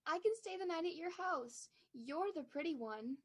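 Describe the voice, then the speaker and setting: A woman's voice: very high-pitched, animated, normal speed. A woman playing a timid, anxious small-town lady in a 1940s-style radio play, earnest and pleading. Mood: fretful.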